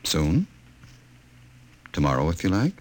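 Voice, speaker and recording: Really low voiced creepy controlling government official, old radio drama, formal and measured. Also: male voice, huge pitch swings, exaggerated voice. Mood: coolly persuasive. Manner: measured, formal, smoothly authoritative. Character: cold, controlling middle-aged official of a dystopian future. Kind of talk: classic radio drama acting.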